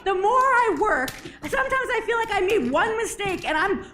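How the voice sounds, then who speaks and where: Very high-voiced woman giving a keynote. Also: moderate pace, a little hiss or hum. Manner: animated, jokey, asks the audience questions. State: a bit hoarse, clears throat. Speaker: conference speaker. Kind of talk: motivational keynote talk. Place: stage at a conference.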